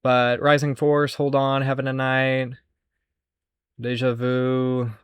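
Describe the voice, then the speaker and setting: A man's voice: medium pitch, completely flat delivery, slow, smooth, no echo, studio quality. A man hosting a casual, unscripted show-and-tell of his collection, rambling and musing aloud as he goes. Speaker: host showing a music cassette collection.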